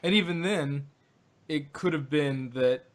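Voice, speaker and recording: A man's voice: on the high side, measured pace. A man co-hosting a fan podcast review, talking casually and rambling with lots of fillers and restarts. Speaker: podcast co-host.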